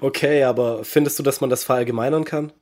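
A male reporter reading scripted radio feature narration with a smooth, polished delivery. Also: medium pitch, fairly even intonation, fast, darkish tone, no room sound.

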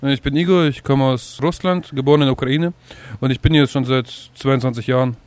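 A man's voice: mid-range voice, steady tone, brisk, a little mellow, no echo. A man with a medium voice gives blunt, matter-of-fact interview answers. The recording is dry and close, with no room sound.

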